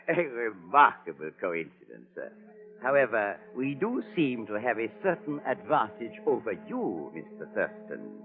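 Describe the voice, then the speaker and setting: Very animated, suave baron. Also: male voice, mid-range voice, slow, faint music behind, exaggerated voice. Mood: suave, mocking. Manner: formal, smooth-talking, arch. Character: suave, theatrical male baron, slyly mocking. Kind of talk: old-time radio drama acting. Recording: narrow, lo-fi vintage radio recording.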